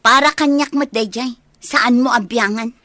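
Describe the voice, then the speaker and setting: A woman's voice: on the high side, normal speed, bright, forceful tone, dry recording. A woman with an Ilocano Filipino accent playing a feisty, questioning younger village woman in a comedy radio drama. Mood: suspicious.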